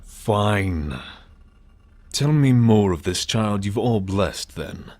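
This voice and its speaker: A man's voice: on the low side, animated, slow pace, a little mellow, dry recording. A man playing a huffy, defensive, haughty god in a fantasy audio drama. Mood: grudging. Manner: indignant, haughty. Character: huffy, defensive male god of machine-making. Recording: music under the voice.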